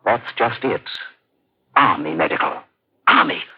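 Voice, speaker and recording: An actor playing a nervous, evasive doctor who is secretly an agent, in a 1950s radio drama. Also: male voice, lowish voice, animated, measured pace, slightly rough. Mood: anxious, fearful. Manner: hesitant, anxious, evasive. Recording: muffled old radio recording.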